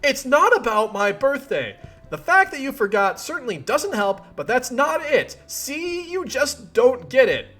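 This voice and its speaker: Furious, high-pitched young woman played by a man. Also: very animated, unhurried, soft background music, full character voice. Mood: exasperated. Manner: shouting, biting, emphatic. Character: furious, exasperated young woman, sick of her friend.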